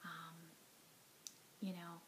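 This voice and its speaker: A woman in her forties telling her own story to camera from her living room, earnest and reflective, often soft and breathy, with many ums and you knows. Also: fairly low pitch, completely flat delivery, very slow, a little hiss or hum. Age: 40s. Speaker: heart attack survivor telling her story. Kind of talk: personal testimony to camera. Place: living room at home, indoors. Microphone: device camera at arm's length, sitting close.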